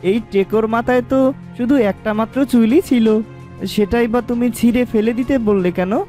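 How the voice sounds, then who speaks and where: A woman's voice: fairly high pitch, moderate pace, mellow, natural voice. A woman voicing a naive, easygoing young village husband in a cartoon. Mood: curious, pleased. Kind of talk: cartoon character acting. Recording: music behind the voice.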